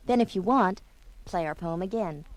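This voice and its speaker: A woman's voice: medium pitch, normal speed, lightly acted, low-quality recording. A woman voicing a quarrelsome female stereo speaker in a comedy record sketch, nagging and exasperated. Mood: instructive.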